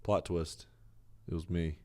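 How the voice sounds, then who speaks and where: A man's voice: deep voice, flat delivery, unhurried, a little mellow. A man co-hosting a podcast, chatting casually with teasing, dry interjections. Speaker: podcast co-host. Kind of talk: casual banter.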